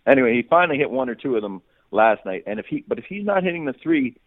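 A man's voice: medium pitch, sing-song, fast talker, no echo, narrow, tinny audio. A man giving unscripted sports analysis as an interview guest, often repeating and rephrasing phrases as he reasons aloud. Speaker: sports reporter, podcast guest.

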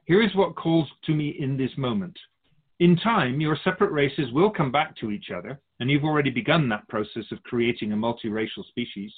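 A man playing a wise, curious otherworldly spirit guide in a radio drama, musing formally with gentle wry humour. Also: mid-range voice, brisk, lightly acted. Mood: musing, hopeful. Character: Keeper of Souls Purpose, wise curious otherworldly being. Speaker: spirit guide being interviewed. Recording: muffled phone-like narrow band.

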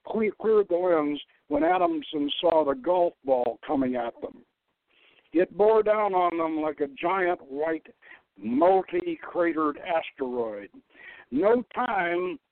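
A man's voice: sits high, no echo. A man giving a dramatic reading of satirical science fiction, stumbling over words now and then and correcting himself. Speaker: host reading his own novel. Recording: lo-fi phone-like radio line.

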